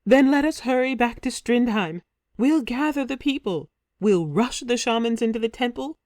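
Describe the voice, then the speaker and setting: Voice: mid-range voice, normal speed, a little mellow, no room sound, studio quality, voice pushed up, lightly acted. A reader voicing an ardent ancient warrior queen. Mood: eager. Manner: pleading, ardent. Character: passionate immortal warrior queen, woman. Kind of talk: storybook reading.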